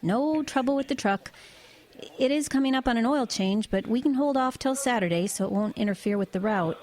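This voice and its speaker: A woman's voice: a little high, fairly quick, a little mellow, lightly acted. A woman playing a level-headed truck driver in an eerie audio drama, talking in an everyday conversational way. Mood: casual, evasive. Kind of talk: audio drama dialogue and narration.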